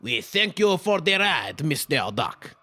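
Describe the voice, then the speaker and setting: A sneaky cartoon crook, played by a man, talks in a high, bright voice. He is sly and falsely folksy, taking his time with a lively swing in pitch. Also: measured pace, heavily acted. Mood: smug. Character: sneaky male crook, smirking henchman posing as trapper. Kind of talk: comic dub cartoon acting.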